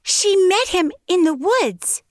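Brisk little fairy auntie, super high voice, slow and clipped, dry sound, a woman voicing her. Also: very animated, dry recording, exaggerated voice. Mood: matter-of-fact. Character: brisk, practical good fairy aunt. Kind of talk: audio drama acting.

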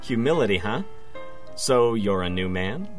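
A man's voice: on the low side, animated, unhurried, faint music behind. A man playing a blunt, teasing bartender with a casual, needling manner in an audio drama. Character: blunt, teasing male bartender giving friendly advice.

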